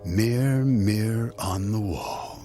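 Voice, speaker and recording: An actor playing a smooth, scheming husband who is glib, coaxing and sardonic, in a radio drama. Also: male voice, lowish voice, slow, dark tone, soft background music. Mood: teasing.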